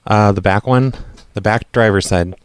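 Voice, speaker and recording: A man's voice: fairly low pitch, normal speed, dry recording. Stammering, argumentative man, very dry sound. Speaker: prank caller, show host. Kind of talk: prank phone call, casual chat.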